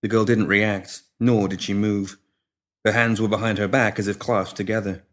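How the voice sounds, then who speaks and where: A man's voice: on the low side, level tone, normal speed, fairly bright tone. A man reading a scripted story as an audiobook narrator, in descriptive prose. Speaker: story reader.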